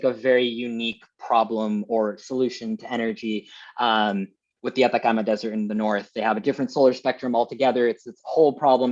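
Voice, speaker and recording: A young man from Colombia talks through his research on a video call, with frequent ums and restarts. His voice is mid-pitched. The audio is dull and lo-fi. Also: a little mellow. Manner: explains at length, restarts, many ums. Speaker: presenting Fulbright scholar. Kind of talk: casual academic Q&A.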